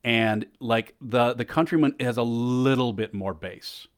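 A man with a bassy voice chatting casually on a podcast, rambling with restarts, recorded on a headset mic. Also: measured pace. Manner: rambling, frequent restarts and fillers. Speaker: podcast co-host and event tech guest. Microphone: over-the-ear headset mic.

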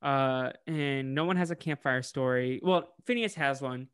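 A man co-hosting a podcast, recapping a cartoon episode in casual chat, animated and laughing often. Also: mid-range voice, measured pace, smooth, no room sound, studio quality. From United States. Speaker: podcast co-host.

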